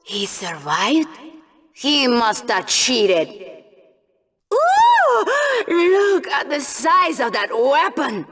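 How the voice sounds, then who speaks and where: A woman's voice: high-pitched, wildly swooping pitch, very slow, noisy background, full character voice. An actress playing a gleefully bloodthirsty female co-host of a sci-fi arena show, giving melodramatic, sadistic commentary in a video game. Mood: sly, excited.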